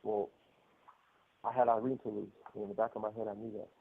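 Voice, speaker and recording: A man's voice: lowish voice, flat delivery, fairly quick, gravelly, slightly rough. American male inmate caller, candid personal storyteller, heard through a thin, muffled telephone line. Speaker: prison inmate caller telling his story. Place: prison phone call. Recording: muffled narrow-band prison phone line.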